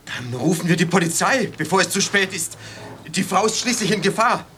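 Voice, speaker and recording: An actor playing an indignant, fussy, cowardly bystander in a radio drama, peevish and grumbling. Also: male voice, high voice, a little fast, sharp tone. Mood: alarmed. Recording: slightly noisy old cassette.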